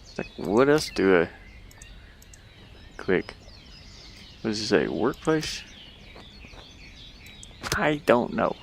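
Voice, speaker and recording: A man's voice: fairly low pitch, sing-song, slow, gravelly, long pauses, a little hiss or hum. A man giving casual gameplay commentary, puzzled and muttering to himself as he thinks aloud. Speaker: gamer playing and commenting on a game.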